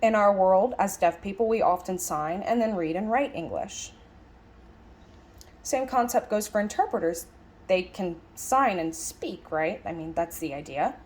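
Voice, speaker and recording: A woman interprets a lecture aloud at a middling pitch that moves with lively swings. She sounds conversational and reflective, leaning on key words as she muses through the ideas. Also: a little slow. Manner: musing, emphatic phrasing with rhetorical questions. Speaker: sign language interpreter voicing a signed lecture.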